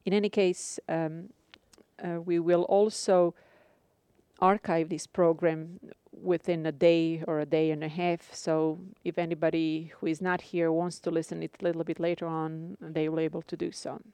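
A woman with a Croatian accent, a course instructor giving a live radio lecture to her students, speaking carefully with frequent filler pauses. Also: deep voice, little pitch movement, mellow, clean tone, no echo.

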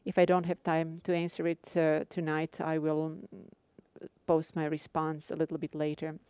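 Dark, smooth-voiced woman with a Croatian accent. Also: low voice, completely flat delivery, no echo. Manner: careful, measured, frequent filler pauses. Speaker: instructor. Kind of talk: live radio lecture to students.

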